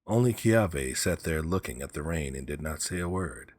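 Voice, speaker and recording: A man narrating a story as an audiobook reader, in measured, simple phrasing. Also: extremely low pitch, expressive, a little mellow.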